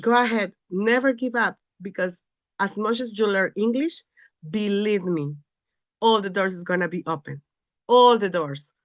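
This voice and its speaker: A woman's voice: mid-range voice, a little slow, dry recording. Woman from el salvador, earnest, repeats herself to push the point, dry sound. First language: Spanish. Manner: earnest, repeats phrases for emphasis. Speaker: panel speaker. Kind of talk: encouraging talk to an audience.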